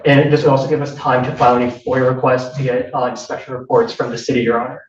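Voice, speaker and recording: A man's voice: mid-range voice, flat delivery, fast talker. A young man from Boston, a law student, addressing the judge politely and deferentially in a courtroom. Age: young adult. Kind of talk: courtroom argument. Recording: echoey room, distant, muffled.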